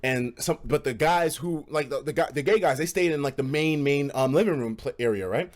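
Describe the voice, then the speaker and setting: A man streaming casual, animated stories to a live chat, with slangy, profane phrasing and dramatic emphasis. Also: on the high side, brisk. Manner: profane, slangy, acts out quoted dialogue; dramatic emphasis and repetition for suspense.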